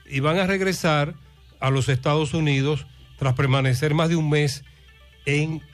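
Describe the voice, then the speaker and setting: A man's voice: fairly high pitch, fairly even intonation, measured pace, faint music behind. A man hosting a radio call-in show, moderating in a conversational way. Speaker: radio host.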